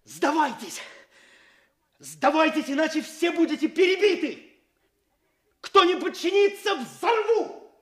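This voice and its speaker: A man playing an eager, excitable young police chief in a radio play, urgent and commanding. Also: very high voice, a little slow, long pauses, heavily acted. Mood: commanding, shouting. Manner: urgent, barking orders.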